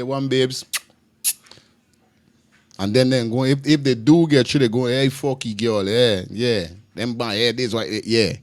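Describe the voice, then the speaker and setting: A man with a Guyanese accent, hosting a reaction video and rambling loosely in casual commentary. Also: medium pitch. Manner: loose, rambling, repeats himself with Creole grammar. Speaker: reaction channel host.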